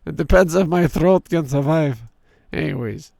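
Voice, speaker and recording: A hoarse man in his thirties with a sore throat, streaming a game and reading its story text aloud in a deeper storyteller voice. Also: normal speed, dark tone, dry recording, studio quality. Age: 30s. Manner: drops into a deep storyteller voice for the narration.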